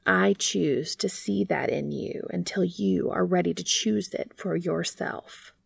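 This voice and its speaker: Soft, slow, devoted male bee acted by a woman. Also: lowish voice, gravelly, slightly rough, dry recording, studio quality, full character voice. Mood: earnest, resolute. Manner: soft, tender, deliberate. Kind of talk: storybook character reading.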